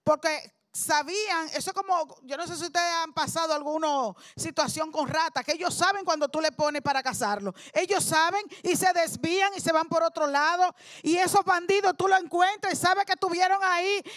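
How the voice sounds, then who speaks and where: A woman's voice: extremely high pitch, fairly quick, few pauses, fairly bright tone, no echo, studio quality. A woman preacher delivering a sermon, emphatic and repeating key words for effect.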